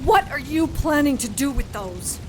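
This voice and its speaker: A woman playing a defiant, sharp-tongued captive witch in an audio drama, speaking with sarcasm. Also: sits high, sing-song, normal speed, music in the background. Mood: sarcastic defiance.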